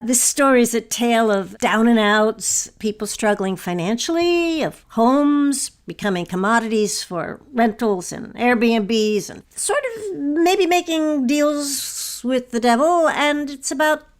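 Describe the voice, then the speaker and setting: A woman's voice: on the high side, lively intonation, slow, few pauses. A woman hosting and moderating a discussion on an audio drama show. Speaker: host and moderator.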